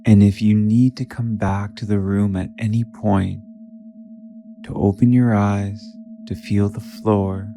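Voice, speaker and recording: A man leading a guided meditation in a soft, soothing manner, leaving long pauses between short phrases. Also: on the low side, flat delivery, soft background music.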